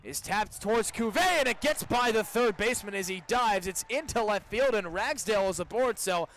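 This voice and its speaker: A man's voice: high-pitched, lively intonation, moderate pace, fairly bright tone. A young man doing live baseball play-by-play on the radio, as the game's announcer. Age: young adult. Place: ballpark during a live game. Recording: clear background noise, live ballpark broadcast.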